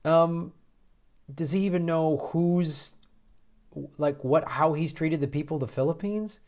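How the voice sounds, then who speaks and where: A man's voice: on the high side, a little mellow. An American man giving casual political commentary in an incredulous, mocking manner, often breaking off and restarting his sentences. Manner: halting, with repeated false starts. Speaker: host of a political commentary show.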